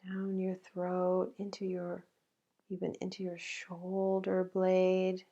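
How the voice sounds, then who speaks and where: A woman leading a guided meditation in a gentle, halting way, with long pauses between phrases. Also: on the low side, monotone, highs cut off.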